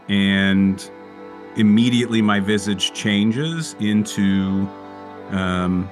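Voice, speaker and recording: A man playing a tabletop game, casually describing his character's actions in a halting, searching way. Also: fairly low pitch, a bit bright.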